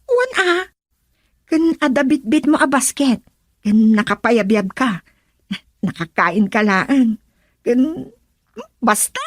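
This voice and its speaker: A woman's voice: fairly high pitch, sing-song, takes it slowly, long pauses, no echo, clean studio sound, full character voice. A woman playing a bossy, chatty young friend in an Ilocano radio drama, exclaiming and insisting with an Ilocano accent. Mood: eager, bossy.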